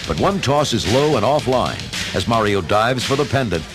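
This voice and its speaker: A man's voice: medium pitch, sing-song, normal speed. A man giving dramatic scripted narration as the narrator of a radio play. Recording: music behind the voice.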